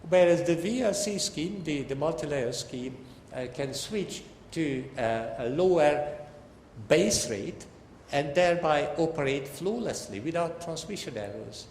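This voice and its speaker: An older man giving a technical academic lecture in a halting, deliberate way. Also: fairly high pitch, lively intonation, a little mellow. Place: large lecture hall. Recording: echoey hall with long reverb, background noise.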